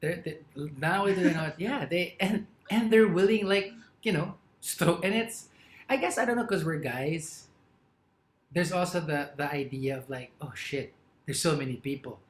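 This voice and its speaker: A man's voice: a little high, a little slow. A man telling stories on a casual podcast. His laugh keeps breaking in, and the room around him is roomy and echoey. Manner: animated storyteller, laughs often. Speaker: podcast co-host. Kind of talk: casual storytelling chat.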